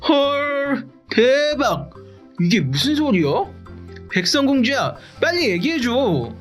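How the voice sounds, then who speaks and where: A woman voicing an excitable, blunt, slangy sidekick in an animated explainer, exclaiming and questioning in disbelief. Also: sits high, takes it slowly, full character voice. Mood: astonished. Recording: music bed behind the voice.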